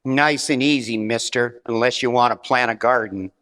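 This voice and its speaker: A man's voice: mid-range voice, fairly even intonation, moderate pace, fairly bright tone, natural voice. A man playing a cool, threatening 1940s mob boss in a radio noir parody, giving clipped orders in hard-boiled slang. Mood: cool, commanding.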